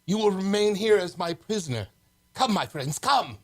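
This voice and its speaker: Rebel prince played by a man: high voice, bold, earnest, declamatory radio-serial delivery. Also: expressive, normal speed, a bit bright. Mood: resolute. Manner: declamatory, urgent. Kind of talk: amateur radio play acting.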